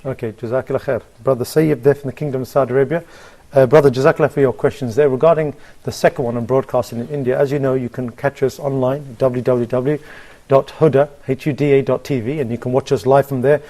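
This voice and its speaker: A man runs a live call-in show, greeting callers and moving things along. He talks fast in a mellow, relaxed tone. His manner is polite and affirming. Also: medium pitch. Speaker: host taking calls.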